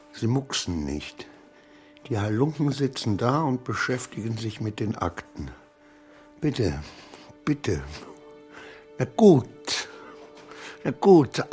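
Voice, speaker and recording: An actor playing an anxious, self-pitying clerk who mutters agitatedly to himself in a radio play, swinging between dread and defiance. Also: male voice, mid-range voice. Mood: bitter, tense. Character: anxious, self-pitying male clerk, brooding over his double.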